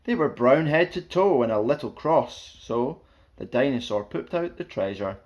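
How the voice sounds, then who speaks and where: A man's voice: medium pitch, expressive, moderate pace, a little mellow, lo-fi sound. A man reading a rhyming children's picture book aloud as a storyteller, in a playful, theatrical, sing-song way.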